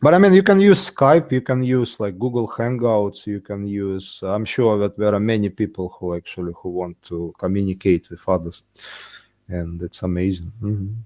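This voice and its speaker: Relaxed man, native Russian speaker. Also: fairly low pitch, moderate pace. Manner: relaxed, frequent mm-hmms and soft laughs. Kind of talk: casual video call chat.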